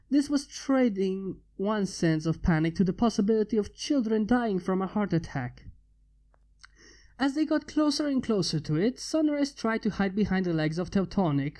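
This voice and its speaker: A man's voice: high-pitched, warm tone. A man reading a fan fiction story aloud as scripted narration.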